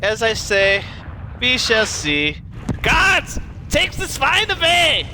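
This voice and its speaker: Casting: merciless Nazi general, pompous, taunting, highly animated, melodramatic cartoon villain. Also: male voice, slow, a little hiss or hum, full character voice. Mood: angry, commanding. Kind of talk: melodramatic adventure cartoon acting.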